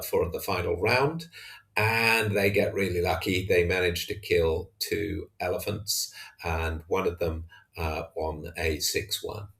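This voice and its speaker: Male wargame commentator, unscripted and hesitant, low pitch, unhurried pace. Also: creaky. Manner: hesitant, halting delivery. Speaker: tabletop wargamer narrating own battle report. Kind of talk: unscripted match recap commentary.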